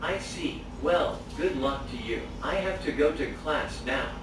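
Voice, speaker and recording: A man's voice: a little high, measured pace, close to an everyday voice. Friendly male student voiced by a man, textbook delivery, heard in a very roomy space from a distance. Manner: even, textbook phrasing. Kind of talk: scripted English dialogue video. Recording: roomy, distant, noisy playback.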